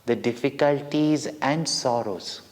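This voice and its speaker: Slow-speaking Indian man in a reverberant hall. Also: mid-range voice, a little mellow. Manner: deliberate, dramatic, with short pauses between phrases. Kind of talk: devotional storytelling for children. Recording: long reverberant hall echo.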